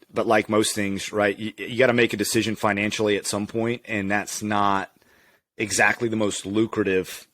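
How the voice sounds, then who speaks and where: Laid-back man from Louisiana chatting. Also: fairly low pitch. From Louisiana, USA. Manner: relaxed, candid, laughs easily. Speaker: physical therapist guest. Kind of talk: casual conversational interview.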